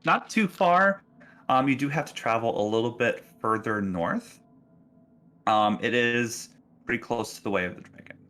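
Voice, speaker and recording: A man's voice: medium pitch, normal speed, fairly bright tone, soft background music. A man working as game master, narrating casually in an easygoing, encouraging way. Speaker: game master of a tabletop roleplaying game.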